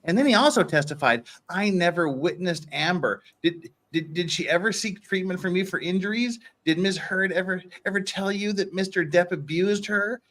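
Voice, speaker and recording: A man hosting a dramatic reading of courtroom dialogue, theatrical and animated, with casual asides. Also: high voice, normal speed, hardly any pauses.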